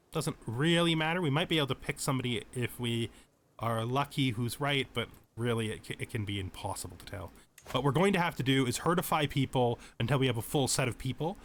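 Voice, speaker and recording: A man's voice: medium pitch, a little fast, a bit bright, a little hiss or hum. A man giving unscripted tutorial commentary over a video game, explaining things step by step and often repeating or rephrasing as he goes. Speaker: tutorial let's play host.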